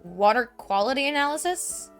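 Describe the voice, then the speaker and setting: A woman's voice: high-pitched, very animated, moderate pace, a bit bright, faint music behind. A woman giving casual gameplay commentary into a desk microphone at home, chatty and full of "um" and "like". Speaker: let's play gamer.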